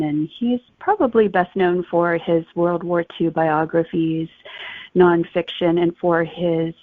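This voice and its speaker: Female author guest, slightly low voice, conversational explaining, dry telephone-band recording. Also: no room sound. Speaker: author being interviewed. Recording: thin phone-like, dry sound.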